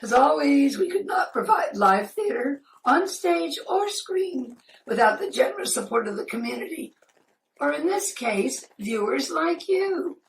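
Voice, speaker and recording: A woman's voice: sits high, big pitch swings, unhurried, creaky. A woman giving a scripted thank-you and appeal to viewers as a theater spokesperson, recorded in a living room. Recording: roomy, distant mic, faint noise.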